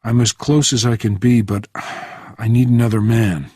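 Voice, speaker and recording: A man's voice: lowish voice, fairly even intonation, normal speed, warm tone, breathy edge, no room sound, studio quality. A man voicing a hard, wary, blunt operative in a spy thriller audiobook. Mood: terse. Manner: terse, blunt. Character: hard, terse, wary male operative on the run.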